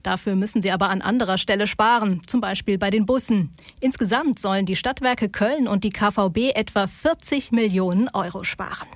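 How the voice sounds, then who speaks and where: A woman presenting regional news on the radio in a measured, scripted studio read. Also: medium pitch, no echo. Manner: measured, even delivery. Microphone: studio microphone.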